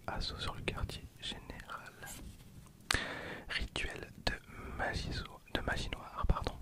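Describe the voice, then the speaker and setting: A man in his twenties whispering softly and informally, as in a casual ASMR video with hushed running commentary. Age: 20s.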